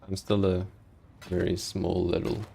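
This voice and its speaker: A man from South Africa with a slightly Americanized South African accent, chatting casually and haltingly with viewers while streaming a game. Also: sits low, vocal fry, slight background noise. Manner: relaxed, chatty, halting with many ums and restarts, chuckles.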